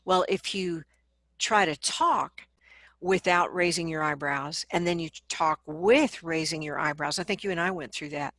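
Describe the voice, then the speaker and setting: Woman vocal coach chatting thru advice, lowish voice, dry close sound, acts out little examples. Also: measured pace, a bit bright, dry recording. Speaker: vocal coach being interviewed. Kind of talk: conversational interview, explaining advice with examples.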